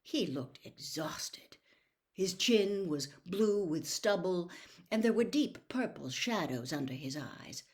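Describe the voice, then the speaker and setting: Lower-voiced woman reading a storybook aloud. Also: lively intonation, takes it slowly. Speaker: storybook reader. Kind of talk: expressive audiobook-style narration.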